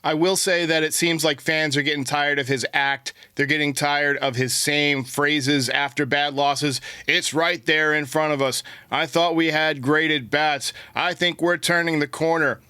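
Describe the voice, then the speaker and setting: Man, higher-pitched, bright punchy voice, dry close sound, blunt sports podcast host. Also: fairly even intonation, measured pace, hardly any pauses, smooth, no room sound. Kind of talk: opinionated baseball commentary and listener voicemail replies.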